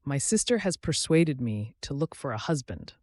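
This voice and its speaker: A man's voice: mid-range voice, big pitch swings, moderate pace, darkish tone, no room sound, natural voice. A man voicing a kindly, bashful older woman, a folksy frontier cook in an audiobook. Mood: excited. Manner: folksy, dropped g's, homely endearments.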